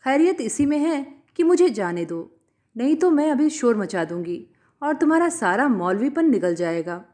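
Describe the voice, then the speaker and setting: Woman reading as a high-voiced, defiant, indignant lady. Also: sing-song, normal speed, dark tone.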